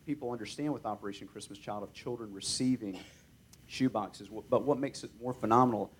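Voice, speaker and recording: A man's voice: lowish voice, brisk, a little hiss or hum. A man introducing a guest speaker, speaking hesitantly with many fillers. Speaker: ministry representative introducing a guest. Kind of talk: introductory remarks.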